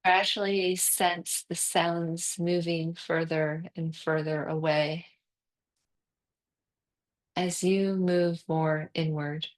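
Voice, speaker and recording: A woman leads a guided relaxation as a yoga nidra teacher. Her voice sits slightly low and moves very slowly. She gives calm, soothing instructions in short phrases, leaving long pauses between them.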